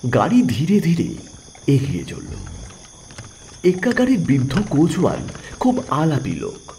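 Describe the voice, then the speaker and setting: A man's voice: a little high, wildly swooping pitch, a little slow, a little mellow, a little hiss or hum. A man narrating a scripted audio story in a dramatic storytelling style.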